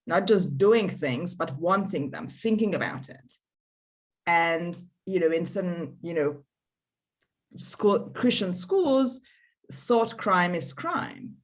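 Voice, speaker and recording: A woman speaks in a slightly low voice. She co-hosts a relaxed discussion over a video call. She thinks out loud and often restarts her sentences. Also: slow. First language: Hungarian. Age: 30s. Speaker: co-host. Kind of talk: casual panel discussion.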